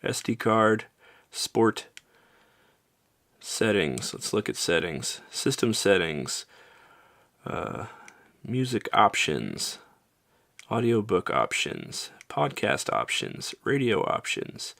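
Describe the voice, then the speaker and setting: A man's voice: fairly low pitch, big pitch swings, slow pace, gravelly, pauses often, a bit bright, no room sound. A man with a North American accent narrating a casual gadget review in an offhand, informal, slightly wry way. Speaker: product reviewer.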